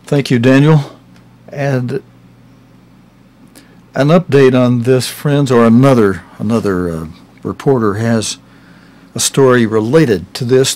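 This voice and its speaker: An older man hosting a news program from a studio, reading stories slowly with some halting restarts. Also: medium pitch, darkish tone. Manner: slow, halting delivery with restarts. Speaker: news host. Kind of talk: scripted news reading with ad-libbed links. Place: studio with news desk backdrop.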